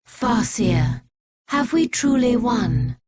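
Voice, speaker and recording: Measured, deferential alien soldier. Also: female voice, fairly high pitch, expressive, darkish tone, breathy edge, exaggerated voice. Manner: formal, deferential. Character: deferential female alien Eldar soldier reporting to her leader. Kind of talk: dramatic video game voice acting.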